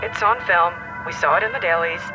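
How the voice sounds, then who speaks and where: Woman playing a dramatic leading lady on a talk show, earnest, halting delivery, music under it. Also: lowish voice, natural voice, low-quality recording. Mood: insistent, mysterious. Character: dramatic leading-lady actress recounting an alien encounter. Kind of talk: TV talk show interview acting in audio drama.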